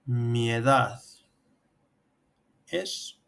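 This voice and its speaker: A man's voice: medium pitch, drawn-out words, creaky, a bit bright, clean tone. A man in his thirties with an accent from Spain, giving step-by-step tutorial narration as an instructor. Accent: Spanish from Spain. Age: 30s. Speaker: programming instructor. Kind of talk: tutorial narration over screen demonstration.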